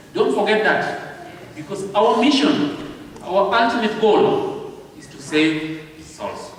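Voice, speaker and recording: A man with a Kenyan accent giving a training talk at a convention, speaking slowly and deliberately and checking in with the audience. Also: sits high, a little hiss or hum. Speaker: publishing house representative. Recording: echoey hall recorded from far off.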